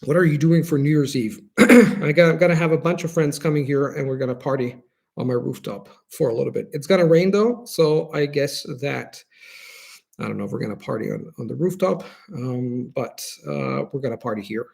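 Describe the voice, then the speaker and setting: Italian man with a gravelly voice. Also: mid-range voice, brisk, darkish tone. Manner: explanatory, earnest, restarts phrases while thinking. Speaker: host answering viewer questions. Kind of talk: live-stream Q&A, unscripted.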